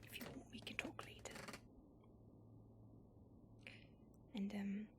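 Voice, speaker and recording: A prickly centuries-old vampire woman, whispered by a female performer with a fairly high, breathy voice and dry, sardonic teasing. The whisper stays close and hushed. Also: slight background noise, lightly acted. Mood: grumbling, soft. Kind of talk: whispered audio roleplay.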